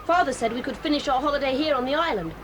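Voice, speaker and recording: An actress playing a brisk, plucky girl in an audio drama. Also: high voice, slight background noise, lightly acted.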